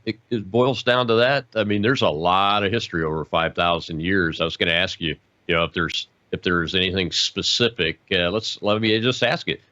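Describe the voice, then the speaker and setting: A male host asking interview questions in a halting way, with many fillers and restarts. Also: fairly low pitch, a bit bright, dry recording, lo-fi sound.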